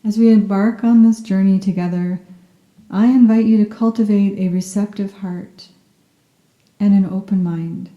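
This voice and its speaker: A soft-spoken woman guiding a yoga nidra meditation in a gentle, soothing and unhurried manner. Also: mid-range voice, fairly even intonation, roomy sound, dull audio. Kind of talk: guided meditation, scripted narration.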